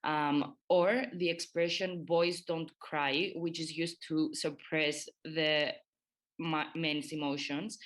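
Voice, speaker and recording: A woman from Cyprus, giving a prepared explanation on a podcast. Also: deep voice. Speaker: podcast participant.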